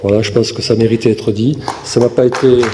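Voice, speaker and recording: A man in his fifties from southern France giving a halting campaign speech to a local audience, with fillers and restarts. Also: medium pitch, steady tone, dark tone. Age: 50s. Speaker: municipal election candidate. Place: meeting hall. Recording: long hall reverb with faint room noise.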